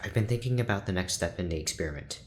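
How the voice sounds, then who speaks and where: Low, reserved male scientist, played by an actor. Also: lively intonation, slight background noise. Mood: troubled, reflective. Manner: measured, formal, deliberate. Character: reserved, principled male prison neurologist, weary and guarded. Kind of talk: naturalistic audio drama acting.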